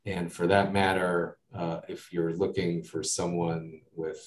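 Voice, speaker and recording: A man's voice: sits low, level tone, measured pace. A man from the United States with an American accent, chatting as an interview guest in a rambling way full of fillers and asides. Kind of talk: casual interview talk. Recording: echoey room, distant mic.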